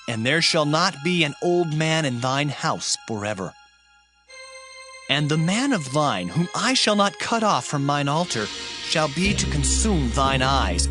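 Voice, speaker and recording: An actor playing a stern man of God, solemnly declaiming divine judgment in a dramatized audio Bible. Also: fairly high pitch. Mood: stern, forceful.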